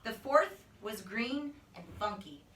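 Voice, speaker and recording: A woman reading a children's story aloud as a teacher, with expressive, animated narration. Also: on the high side, slow, slight background noise. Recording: echoey room, distant microphone.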